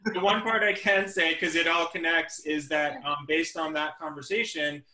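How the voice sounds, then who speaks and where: A man talking as a showrunner in a panel discussion, measured and candid, recorded over a video call in an echoey room. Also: a little high, fairly even intonation, pushed and bright.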